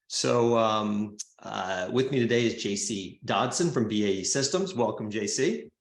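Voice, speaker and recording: A man hosting and moderating an online summit session over a video call, friendly and unhurried, with many fillers. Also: fairly low pitch, animated. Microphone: remote video call. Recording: roomy, echoey sound.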